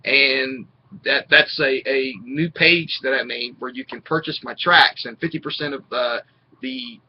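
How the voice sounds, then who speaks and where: Halting man on a dull webcam call. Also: medium pitch, lively intonation, a little hiss or hum. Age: middle-aged. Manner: halting, hesitant explaining. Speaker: musician guest. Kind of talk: casual interview talk. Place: small indoor room with posters.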